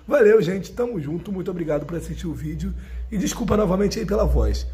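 A young Brazilian man, hoarse from a cold and losing his voice, hosting a casual, slangy gaming news update. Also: sits high, animated, fast talker, dark tone. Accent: Brazilian Portuguese. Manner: slangy, chatty, addresses viewers as bro. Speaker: gaming channel host. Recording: faint music behind.

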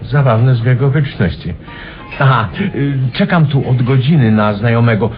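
A man's voice: medium pitch, moderate pace, lightly acted. A man acting in a vintage radio play as a curious, polite listener in a cafe, hesitant and understated in his reactions. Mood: polite, casual. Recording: dull old radio recording with music.

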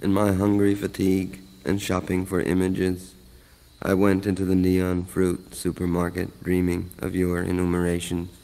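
A man reciting a poem as a scripted voice-over, in a measured, contemplative manner. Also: deep voice, completely flat delivery, dark tone, low-quality recording. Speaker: poem narrator. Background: music.

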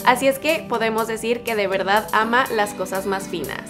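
A woman's voice: a little high, normal speed, over music. A young woman, hosting a scripted celebrity video in a chatty, upbeat way and addressing viewers directly. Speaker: host. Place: studio with plain backdrop, voice over music.

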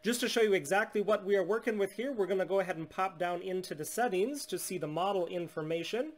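A man in his thirties from the United States, a steady, salesy business owner explaining a tablet unlock to camera in a small room. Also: high-pitched, quick pace. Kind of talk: scripted product demonstration. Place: small indoor room at home.

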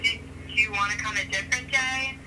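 A woman's voice: medium pitch, fairly even intonation, brisk, bright, forceful tone. A woman working in a shop, talking casually and hesitantly on a speakerphone call, asking puzzled questions. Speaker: shop employee answering a phone call. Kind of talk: casual phone conversation. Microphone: phone speaker picked up by camera. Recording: noisy phone call on speakerphone.

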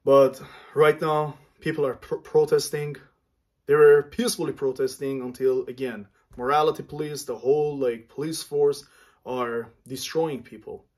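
A man in his thirties from Iran, speaking earnestly and haltingly to camera in a small home room, often stopping to search for words. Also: mid-range voice, steady tone, darkish tone. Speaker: vlogger.

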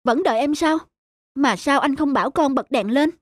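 A woman's voice: high voice, moderate pace, lightly acted. A woman voicing a patient, caring wife and mother in a cartoon, soothing and encouraging. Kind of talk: dubbed cartoon dialogue.

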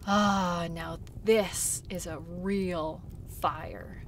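A woman playing a friendly, caring dog sled guide in an intimate audio role play, gentle and teasing. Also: on the low side, fairly even intonation, extremely slow, slight background noise. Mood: warm, apologetic.

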